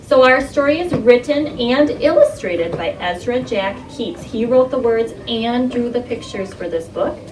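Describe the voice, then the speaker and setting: A woman's voice: a little high, animated, unhurried, hardly any pauses. A woman teaching young children in an interactive read-aloud, using simple instructions and frequent questions and prompts. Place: indoor classroom with carpet. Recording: echoey, noisy room, distant microphone.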